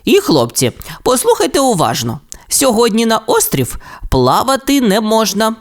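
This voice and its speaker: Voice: medium pitch, huge pitch swings, moderate pace, pitched above the performer's own voice. A strict but caring dinosaur mother from a storybook, as the narrator puts her on, firm and instructive, her voice rising and falling as she lays down the rules. She sounds like a mother who means what she says. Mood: stern.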